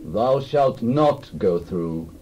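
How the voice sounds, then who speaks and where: A hostile, curt king, as a man puts him on, drawing out each threat very slowly. His tone is dark and mellow. The recording sounds dull and lo-fi. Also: lowish voice, expressive, a little hiss or hum, voice pushed down. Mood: threatening.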